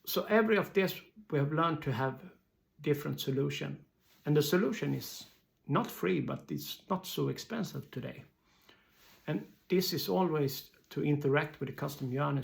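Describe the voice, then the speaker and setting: A man with a Swedish accent giving an informal explanatory business talk in halting, hesitant non-native English phrasing. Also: fairly high pitch, gravelly. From Sweden.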